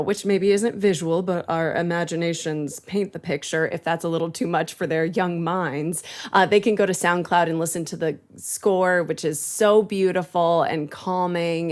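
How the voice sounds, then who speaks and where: Very low-voiced woman in chatty podcast talk. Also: steady tone, a little slow, hardly any pauses. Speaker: voice actor and series guest. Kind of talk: casual podcast chat.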